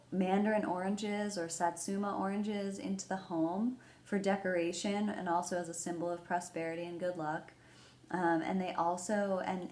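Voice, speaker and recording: A woman hosting a children's storytime, explaining things simply and gently and reading a picture book aloud. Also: lowish voice. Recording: roomy echo, background noise.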